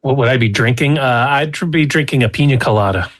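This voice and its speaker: A man's voice: mid-range voice, fast, a bit bright. A man co-hosting a podcast, trading casual banter. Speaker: podcast co-host.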